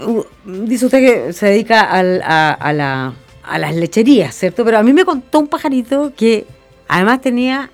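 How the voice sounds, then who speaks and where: Woman, Chilean accent, radio host, chatty colloquial interviewing, medium pitch, music behind the voice.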